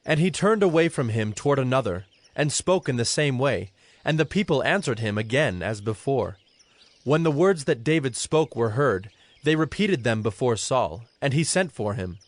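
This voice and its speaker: A man narrating scripture from a script in a measured, formal manner. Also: medium pitch, animated, no room sound.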